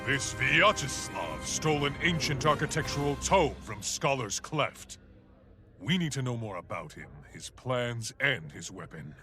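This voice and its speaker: A man voices a learned scholar in a fantasy game. His delivery is grave and formal, at a middling male pitch. Game music plays clearly behind him. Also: expressive, unhurried, natural voice. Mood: concerned. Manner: formal, solemn. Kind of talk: fantasy video game voice acting.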